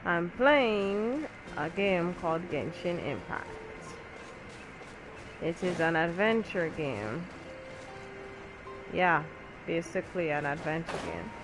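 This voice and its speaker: A gamer comments casually on their own play over clearly audible game music. The voice sits at a medium pitch and moves slowly, in short, scattered remarks spoken half to themselves. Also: animated, poor audio.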